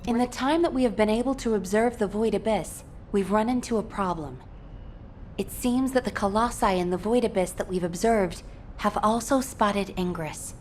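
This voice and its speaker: A composed actress-played female mission commander from a video game delivers a serious briefing at a measured, formal clip. Her medium-pitched voice sits over a faint rumble of background noise. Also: a little mellow, close to an everyday voice. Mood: grave, businesslike. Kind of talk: scripted game briefing dialogue.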